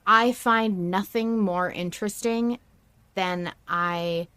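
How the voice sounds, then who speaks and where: A woman's voice: mid-range voice, takes it slowly, sharp tone, smooth, dry recording. A woman hosting a podcast, talking casually and emphatically as a fan with strong opinions. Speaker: podcast host discussing an audio drama.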